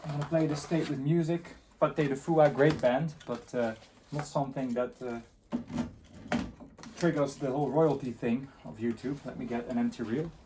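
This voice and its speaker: A man's voice: mid-range voice, moderate pace, mellow, a little hiss or hum. A man giving a casual, unscripted hands-on demonstration, muttering to himself as he works. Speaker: host of a tape deck repair channel.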